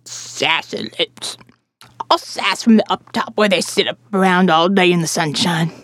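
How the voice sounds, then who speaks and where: A woman voicing a croaking, preachy woman of sixty in audio fiction, scolding in folksy rustic speech. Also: lowish voice, a little slow, a bit bright, no echo, studio quality, pitched below the performer's own voice. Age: 60s. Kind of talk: audio fiction character acting.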